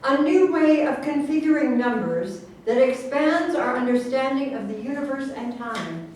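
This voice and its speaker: A woman's voice: high-pitched, lively intonation, moderate pace, darkish tone. A woman playing an authoritative general who mediates with formal exasperation in a staged reading of a satirical play. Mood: official. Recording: very echoey, noisy room, distant mic.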